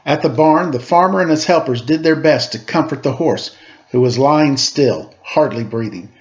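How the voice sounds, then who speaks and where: A man reading a children's story aloud in an expressive, dramatic storytelling manner. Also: on the high side, normal speed, lo-fi sound. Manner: dramatic read-aloud, acting out sound effects and dialogue.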